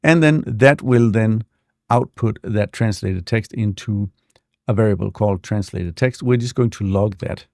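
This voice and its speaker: A man walking through a software demo in a relaxed, explanatory way, with frequent fillers, speaking close to a desk mic. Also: lowish voice, measured pace, gravelly, no room sound. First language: not English. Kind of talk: screen-share tutorial walkthrough.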